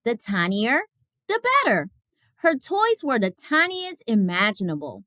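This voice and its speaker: Woman reading a kids picture book, lively swooping pitch, takes her time, careful phrasing. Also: unhurried, no echo, studio quality. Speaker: read-aloud storyteller. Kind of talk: expressive children's picture book narration.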